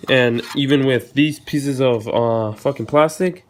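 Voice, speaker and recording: Guy walking thru a car install, regular pitch, casual and repeats himself, bit of noise and clicking behind. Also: measured pace. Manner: informal, occasional swearing, repeats himself. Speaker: host of a car installation tutorial. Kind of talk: casual step-by-step tutorial commentary. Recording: noisy, clicks and vehicle sounds behind.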